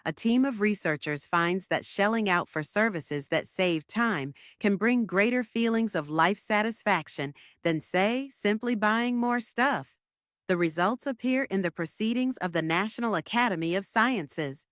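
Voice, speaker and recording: A woman reading scripted science passages aloud as a narrator, in a measured, articulate way. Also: medium pitch, lively intonation, a bit bright, dry recording, clean studio sound.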